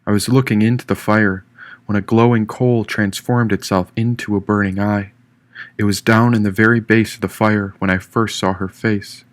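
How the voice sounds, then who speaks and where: A man reading first-person fiction as an audiobook narrator, in an expressive, dramatic storytelling manner. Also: on the low side, normal speed. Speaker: author reading own audiobook. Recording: echoey room, distant mic.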